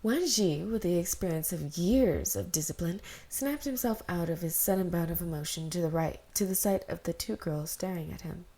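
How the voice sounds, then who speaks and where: A woman with a fairly low, very soft-edged, mellow voice. She is an amateur reader of fan fiction, informal in the way she presents it. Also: normal speed, few pauses. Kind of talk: casual intro and fan fiction narration.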